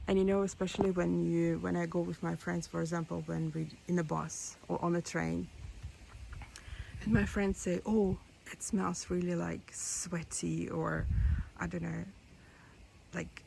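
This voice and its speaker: Woman, hoarse, gravelly, slow, lowish voice, throat clearing, outdoor phone recording, faint background noise. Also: low-quality recording. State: hoarse, losing her voice. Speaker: garden vlogger. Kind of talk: casual garden tour. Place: outdoor rose garden.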